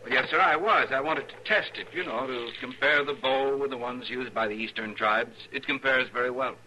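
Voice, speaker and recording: Cast: a prissy, over-courteous scholar, bright tone, slight hiss. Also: male voice, medium pitch, fairly quick, rough recording. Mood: polite. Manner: overly polite, formal, fussy. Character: prim bookish eastern scholar man. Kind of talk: 1950s radio drama acting.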